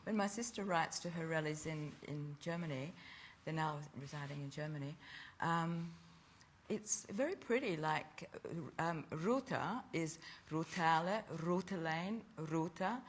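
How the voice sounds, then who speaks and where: A woman's voice: low voice, a little slow. An older woman, chatting as a fashion designer on a discussion panel, laughing easily and trailing off mid-phrase. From Lithuania. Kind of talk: relaxed panel conversation.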